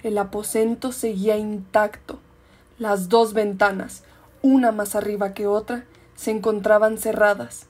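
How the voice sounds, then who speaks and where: A woman's voice: mid-range voice, level tone, unhurried, darkish tone, close to an everyday voice. A woman with a Mexican accent playing a murdered woman's ghost who narrates a radio mystery in a composed storytelling way. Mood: wistful, composed. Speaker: amateur actor in a radio play. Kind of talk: scripted dramatic narration.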